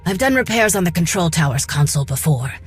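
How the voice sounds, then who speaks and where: A woman's voice: fairly low pitch, very animated, quick pace, slightly rough, soft background music, full character voice. A woman playing a determined, blunt young rebel fighting for her home, urgent and no-nonsense, in a video game cutscene. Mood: earnest, defiant.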